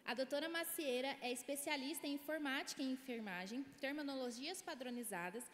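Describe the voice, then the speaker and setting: A woman hosting an event reads a formal introduction from a script, with an occasional slip. Her voice sits fairly high. The recording is echoey, with a long hall reverb and faint room ambience. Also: fairly quick, fairly bright tone, a little hiss or hum. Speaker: event host introducing the speaker. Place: large auditorium.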